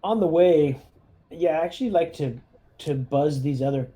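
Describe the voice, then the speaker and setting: A man chatting casually as a player in a tabletop roleplaying game, thinking out loud in a tentative way. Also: on the high side, moderate pace.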